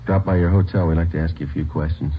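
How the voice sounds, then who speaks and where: A man's voice: very deep voice, fairly even intonation, quick pace, slight background noise. An American man playing a terse, businesslike police sergeant in a 1950s radio crime drama, clipped and matter-of-fact. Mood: dry questioning. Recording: muffled old radio recording with music.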